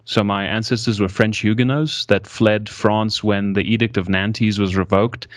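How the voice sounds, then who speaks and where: A man's voice: lowish voice, fairly even intonation, no echo, studio quality. A man from South Africa whose speech mixes American and South African accents, thinking aloud as a guest in a conversational interview with frequent restarts. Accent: mixed American and South African English. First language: American English. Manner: hesitant, halting, with many restarts.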